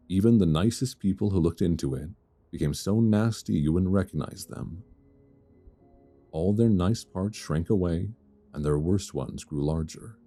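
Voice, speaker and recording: A man softly reading a fairy tale as sleep-aid ASMR, measured and soothing, close to a binaural microphone. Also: deep voice. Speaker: storyteller.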